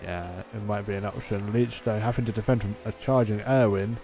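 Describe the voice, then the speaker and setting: Low, mellow-voiced man commentating a race. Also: steady tone, fairly quick. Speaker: sim racing race commentator. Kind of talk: live motorsport commentary with casual chat.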